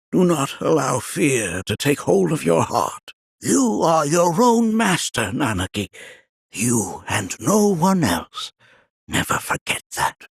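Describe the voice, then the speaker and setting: An actor playing a wise, kindly, very old village elder in a video game, raspy and creaky, speaking in formal, archaic, theatrical phrases like a storyteller. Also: male voice, a little high, no echo, clean studio sound, full character voice. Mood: encouraging, solemn. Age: older. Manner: formal, archaic, measured storytelling with dramatic flourishes.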